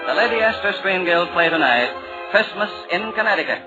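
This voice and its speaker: Lively old-time male radio announcer. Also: high-pitched, a little fast. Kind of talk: 1940s scripted radio announcing. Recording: dull old radio broadcast, music behind.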